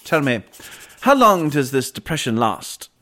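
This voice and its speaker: A man acting a calm, probing gentleman ghost hunter in a radio drama, measured and inquisitive. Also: mid-range voice.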